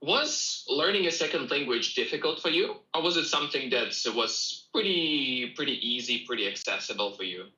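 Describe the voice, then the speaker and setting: A man's voice: mid-range voice, animated, normal speed, few pauses, sharp tone, roomy sound, low-quality recording. A young man from Russia with a Russian accent, co-hosting a casual chat and repeating his phrases as he talks to viewers. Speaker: co-host.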